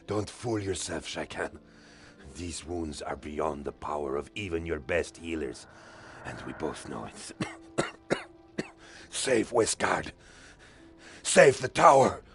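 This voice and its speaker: Low-voiced, dying commander. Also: male voice, sing-song, fairly bright tone, faint music behind, exaggerated voice. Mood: dying, resigned. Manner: laboured, halting. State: mortally wounded, gasping. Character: dying male war commander, proud and resigned. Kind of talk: fantasy video game voice acting.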